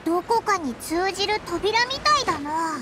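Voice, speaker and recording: A woman voicing a small, squeaky, excitable and brash fairy companion in an anime video game, with blunt casual speech. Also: moderate pace, background noise. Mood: curious, surprised.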